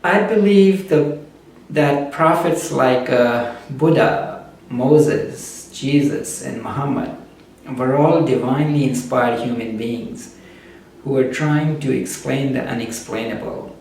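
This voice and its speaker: A man whose first language is Urdu speaks at a middle pitch in a slow, measured way, often pausing to think. He is heard from a little way off the microphone, and his voice rings through a heavily echoing room with a faint hum of noise behind it. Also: creaky, darkish tone. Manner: slow, measured, with frequent "uh" pauses. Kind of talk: reflective spoken essay. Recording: very echoey room, distant mic, faint background noise.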